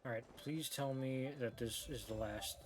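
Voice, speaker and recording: A man's voice: mid-range voice, fairly even intonation, moderate pace. A man giving casual, slangy and sweary commentary as he streams a game story. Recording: game music behind the voice. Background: video game music.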